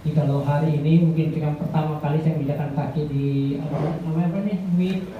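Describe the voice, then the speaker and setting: A man giving a guest sermon to a church congregation in a rambling way, often calling out to the listeners and growing louder as he preaches. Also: flat delivery, quick pace, a little hiss or hum. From Indonesia. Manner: rambling, addresses the congregation as "saudara"; grows louder and higher when preaching. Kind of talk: Pentecostal sermon. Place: church hall. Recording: echoey church hall, tinny and far off.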